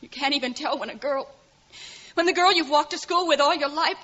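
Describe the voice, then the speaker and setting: A woman's voice: high-pitched, fairly quick, a bit bright, lo-fi sound. An actress playing a sassy, teasing young small-town woman in a 1940s radio drama. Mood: exasperated, teasing. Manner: sassy, pert. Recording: band-limited 1940s radio recording.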